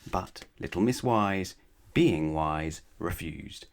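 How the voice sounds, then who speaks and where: Man, lowish voice, children's story narrator, measured, expressive phrasing. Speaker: storyteller reading a children's book aloud.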